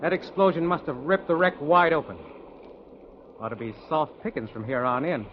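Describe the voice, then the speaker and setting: A man as a businesslike, higher-voiced crew boss. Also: lively intonation, normal speed, natural voice. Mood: satisfied. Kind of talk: old-time radio drama acting. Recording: muffled, noisy vintage radio recording.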